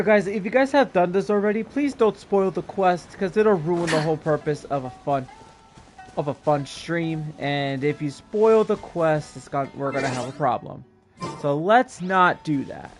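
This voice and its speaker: Male game streamer, slightly high voice, casual chat, faint music behind.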